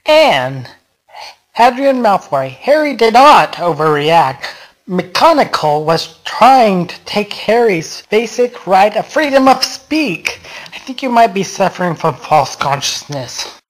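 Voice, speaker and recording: A woman's voice: medium pitch, very animated, takes it slowly, poor audio. A woman reading scripted author's notes in an amateur group reading.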